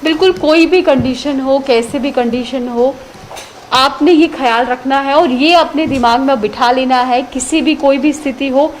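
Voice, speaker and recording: A woman hosting a TV call-in show, brisk and affirming as she moderates callers and the expert. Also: high-pitched, slight background noise, rough recording.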